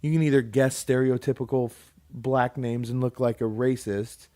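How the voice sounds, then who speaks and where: A man's voice: mid-range voice, moderate pace. Brief: man, late 30s, casual podcast co-host, rambling storyteller, mid-range voice. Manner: rambling, animated, acts out quoted people.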